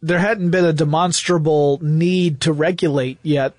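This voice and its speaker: A man's voice: fairly high pitch, steady tone, unhurried, darkish tone, dry recording, studio quality. A man hosting a podcast, explaining and telling stories in a conversational way. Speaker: podcast host.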